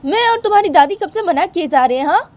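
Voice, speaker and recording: A woman's voice: very high voice, animated, fast, a little mellow, voice pushed up. A woman voicing an angry, scolding grandmother in a story, pressing insistent, repetitive questions. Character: scolding, angry grandmother berating her daughter-in-law.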